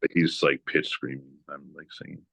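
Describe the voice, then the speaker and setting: A man from New England with a strikingly low, deep voice speaks as a guest on a podcast. He rambles loosely and fills his sentences with "like" and "you know". The sound is dry and close, with no room echo. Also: normal speed, vocal fry. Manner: relaxed, rambling with many "like" and "you know" fillers. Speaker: guest guitarist. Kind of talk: casual podcast interview.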